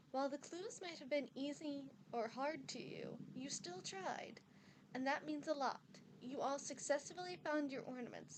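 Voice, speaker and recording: A woman playing a formal, stern older female professor in an amateur audio drama, announcing in a measured way over a noisy home recording. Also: high voice.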